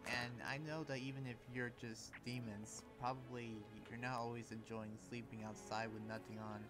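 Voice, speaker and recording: A man's voice: mid-range voice, little pitch movement, music in the background, lightly acted. A man playing an earnest, sympathetic demon slayer, speaking hesitantly and reassuringly in an improvised anime roleplay. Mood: kind, hesitant. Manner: hesitant, halting, gently reassuring. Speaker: Minecraft roleplay player.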